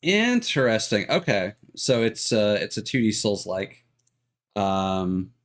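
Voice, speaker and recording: Casting: male game streamer, fairly deep, lively intonation, casual, halting, rambling chat. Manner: hesitant, rambling, frequently trailing off. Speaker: video game livestreamer.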